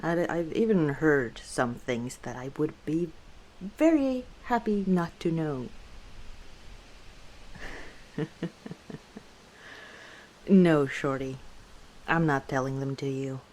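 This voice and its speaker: Female orc innkeeper for ASMR roleplay, acted by a woman; dark, relaxed tone, leisurely delivery, playful and easygoing. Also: sits low. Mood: chatty, confiding. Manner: unhurried, playful teasing with frequent chuckles. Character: easygoing, teasing female orc tavern owner. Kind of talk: ASMR roleplay, one side of a conversation.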